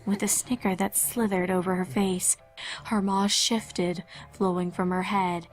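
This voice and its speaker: A woman's voice: mid-range voice, little pitch movement, unhurried, soft background music. A woman giving a scripted narration of a fan fiction horror story.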